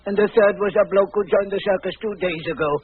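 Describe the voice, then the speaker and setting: A man's voice: high-pitched, steady tone, quick pace, lightly acted. An actor playing a meek, worried circus sideshow man in 1940s radio drama, humble and plain-spoken, with a working-class English accent. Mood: earnest, uneasy. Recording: noisy, tinny old radio broadcast.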